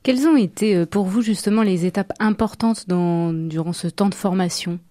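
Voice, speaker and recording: A woman's voice: medium pitch, moderate pace, warm tone, dry recording, studio quality. A woman working as an interviewer, asking questions in a conversational way.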